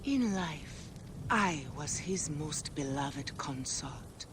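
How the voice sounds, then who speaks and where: An actress playing the solemn spirit of an ancient Egyptian royal consort in a video game, stately, breathy and pleading. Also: female voice, sits low, animated, drawn-out words, background noise, exaggerated voice. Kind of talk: video game cutscene acting. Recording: voice under loud music.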